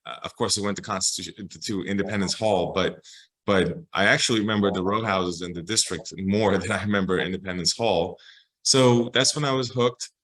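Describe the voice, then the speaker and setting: A man's voice: low-pitched, a little fast, a bit bright, rough recording. An American man speaking as an interview guest, explaining things informally and conversationally, often checking in with the listener. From Los Angeles, United States. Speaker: public historian, interview guest.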